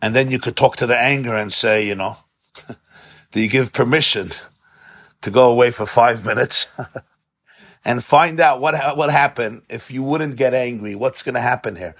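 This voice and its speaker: A man's voice: medium pitch, moderate pace, fairly bright tone. A man, a rabbi, giving an informal religious lecture in a study hall, impassioned and conversational, repeating words for emphasis and mixing in Yiddish and Hebrew terms. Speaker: rabbi teaching a class.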